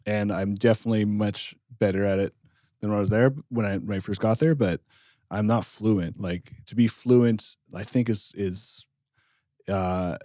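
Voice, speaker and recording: A man in his thirties tells stories in a loose, rambling way, full of "like" and restarts. His voice is low and mellow. The sound is dry and close. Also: fairly even intonation, moderate pace, dry recording. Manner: rambling storyteller, frequent "like" and restarts. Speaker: jazz bassist, interview guest. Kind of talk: casual interview chat.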